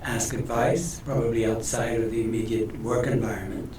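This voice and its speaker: A man moderating a panel discussion, speaking calmly and plainly. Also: fairly low pitch, moderate pace. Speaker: moderator of a mock tribunal. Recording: echoey hall with faint noise.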